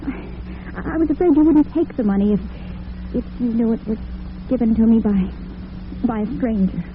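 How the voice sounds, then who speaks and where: A woman's voice: on the high side, moderate pace, close to an everyday voice. A woman playing a devoted, nervous and defensive young working daughter in an old-time radio drama. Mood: evasive, pleading. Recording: tinny, noisy vintage radio broadcast.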